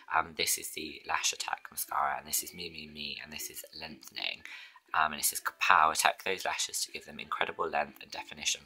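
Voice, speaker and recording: A man with a husky, hoarse voice chatting in a rambling way through his get-ready-with-me routine, often dropping to a whisper. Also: very deep voice, sharp tone, rough recording. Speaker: beauty vlogger.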